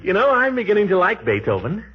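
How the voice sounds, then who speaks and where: An actor playing a pompous, excitable middle-aged small-town bachelor in a 1940s radio comedy. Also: male voice, sits high, fast talker, slightly rough, full character voice. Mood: eager, lovestruck. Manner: blustering, indignant, chuckling. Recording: dull 1940s radio recording.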